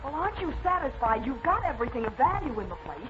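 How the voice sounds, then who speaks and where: Feisty indignant lady reporter, high voice that swoops around, noisy old radio show. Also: big pitch swings, fast talker. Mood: defiant. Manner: indignant, defiant. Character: defiant, spirited young newspaperwoman facing a robber. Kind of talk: 1940s radio acting. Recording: noisy, muffled old-time radio recording.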